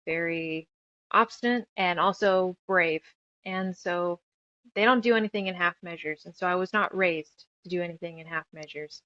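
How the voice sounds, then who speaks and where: A woman from New Mexico speaking as a guest in a casual interview, earnest and emphatic, with halting phrasing. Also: medium pitch, fairly bright tone, no room sound, dull audio. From New Mexico, United States. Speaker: interview guest, author and radio show producer. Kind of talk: casual interview conversation, personal reflection.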